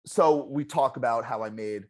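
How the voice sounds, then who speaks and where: Animated, loud-voiced game contestant. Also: medium pitch, normal speed. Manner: animated, self-deprecating storyteller. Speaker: eliminated contestant, juror. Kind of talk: casual exit-interview chat.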